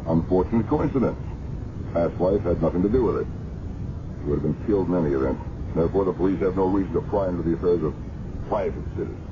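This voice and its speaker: A man's voice: low-pitched, expressive, fast. An older man acting in a 1970s radio drama as a haughty, evasive wealthy uncle, imperious and curt, stammering when pressed. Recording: dull, noisy 1970s radio recording.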